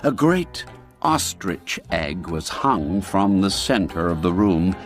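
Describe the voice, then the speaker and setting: A man narrates a literary radio tale with a theatrical, expressive delivery. His voice sits on the low side and swings widely in pitch. He takes his time over each phrase. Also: slow pace, soft background music. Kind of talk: dramatized literary storytelling for radio.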